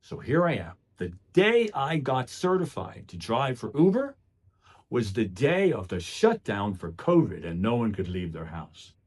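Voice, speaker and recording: A man's voice: mid-range voice, big pitch swings, measured pace, slightly rough. A man delivering a motivational speech in an earnest, emphatic way, often posing rhetorical questions. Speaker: public speaker.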